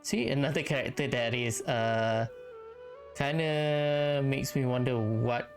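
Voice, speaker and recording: A woman's voice: extremely low pitch, slow pace. A woman giving casual, hesitant let's play commentary, thinking aloud as she reacts to a game. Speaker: gamer playing and reacting to a story quest. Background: game music and dialogue.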